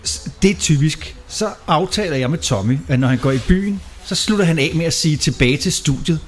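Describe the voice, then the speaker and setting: A man's voice: fairly high pitch, expressive. A man hosting a satire radio show from the studio with casual, teasing, irreverent live banter.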